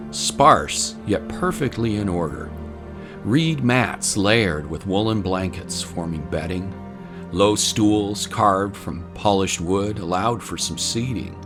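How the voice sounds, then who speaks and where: A man narrates a story in a very low voice, with music playing prominently behind him. His delivery is scripted storytelling from start to finish. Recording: voice over prominent music bed.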